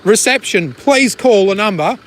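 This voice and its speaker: An Australian man in a casual argument, talking loudly and often cutting in to make his point. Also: high voice, animated, unhurried. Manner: interjecting, loud. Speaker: resident, video maker. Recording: outdoors with faint vehicle noise.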